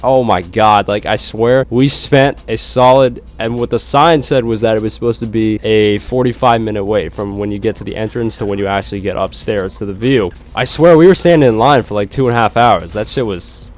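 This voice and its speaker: Young male gaming vlogger, blocked nose, lowish voice, loose rambling talk with casual swearing. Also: fairly quick, hardly any pauses, faint music behind. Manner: rambling, swears freely, frequent restarts. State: congested, nasal from a cold. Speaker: gaming YouTuber. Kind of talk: casual vlog chat.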